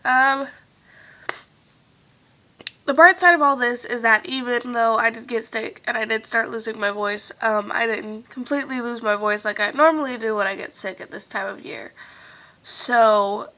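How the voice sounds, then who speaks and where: A young woman chatting casually to her viewers in a vlog, her nose stuffed and congested, rambling along with lots of fillers and trailing off softly now and then. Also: a little high, normal speed, rough recording. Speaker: vlogger.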